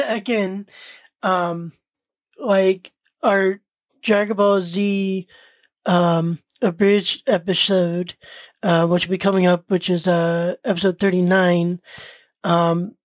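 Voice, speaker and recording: A woman co-hosting a podcast in casual, unscripted talk, speaking hesitantly and often pausing mid-sentence. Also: on the low side, steady tone, dry recording. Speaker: podcast co-host.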